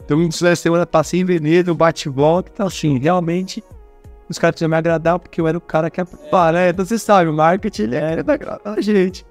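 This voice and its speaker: A Brazilian man telling stories as a guest at a career fair, chatty and animated, who talks with his hands and keeps checking in with tag questions. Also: on the high side, very fast, faint music behind. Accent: Brazilian Portuguese. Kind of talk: casual storytelling interview.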